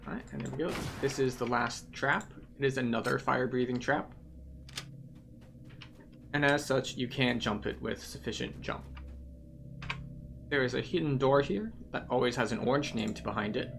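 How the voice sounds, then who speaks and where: A game tour guide giving casual walkthrough commentary, explaining things in a matter-of-fact, unhurried way. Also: mid-range voice, gravelly, background noise.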